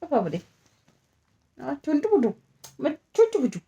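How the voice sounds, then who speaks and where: A woman's voice: high voice, wildly swooping pitch, normal speed, gravelly, warm tone. A young adult woman, chatting casually and informally as she narrates a home vlog. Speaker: family vlogger, mother of a small child. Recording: noisy home recording with background ambience.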